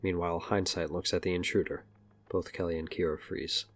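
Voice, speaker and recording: A man with a low voice reads scripted narration for an audio drama. Faint music plays under his storytelling. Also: flat delivery, a little fast.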